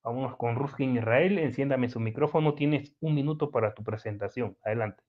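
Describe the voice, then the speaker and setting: A man with a mid-range voice hosts a debate, laying out the format in a brisk, formal way. His voice comes through thin and tinny, like a phone line. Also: mid-range voice. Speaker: host. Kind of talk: introducing an online debate. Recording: phone-like narrow sound.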